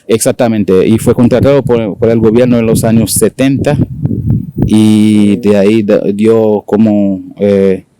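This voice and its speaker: A man in his forties from Haiti, speaking Spanish with a Dominican accent, talking haltingly with many fillers as an interview guest. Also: fairly low pitch, fairly even intonation, background noise. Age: 40s. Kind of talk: casual conversational interview.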